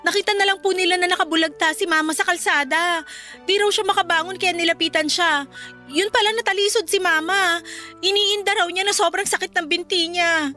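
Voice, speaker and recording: A woman's voice: very high-pitched, fairly even intonation, a little fast, pushed and bright, soft background music. A woman acting a humble, worried young employee in a Filipino radio drama, speaking politely and hesitantly with a Filipino accent.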